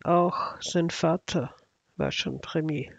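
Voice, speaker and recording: High-pitched Austrian man, dry and sardonic. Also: huge pitch swings, slow pace, a bit bright, no room sound, muffled recording. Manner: sardonic, ironic. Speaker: astrology video narrator. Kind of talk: casual unscripted commentary over slides.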